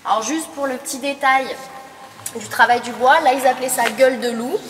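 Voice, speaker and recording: A woman's voice: sits high, steady tone, fairly quick, a bit bright, far from the mic. A tired woman with a cold, guiding a group through a museum and quizzing them with questions. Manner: explanatory, quizzes visitors with questions. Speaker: museum tour guide. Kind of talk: guided tour explanation to a group. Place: indoor mining museum hall. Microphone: far camera recording a group tour. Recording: echoey hall, voice from afar, noisy.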